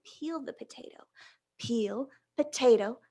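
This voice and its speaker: A woman hosting a storytime for young children, reading and leading action rhymes in a playful, encouraging, animated way. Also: on the high side, measured pace. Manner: playful, encouraging, checks in with listeners.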